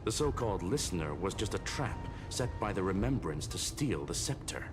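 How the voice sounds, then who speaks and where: Game casting: a coolly logical machine intelligence, very low, clipped and precise. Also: male voice, big pitch swings, moderate pace. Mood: analytical. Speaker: game character. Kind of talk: video game voice acting. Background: game music.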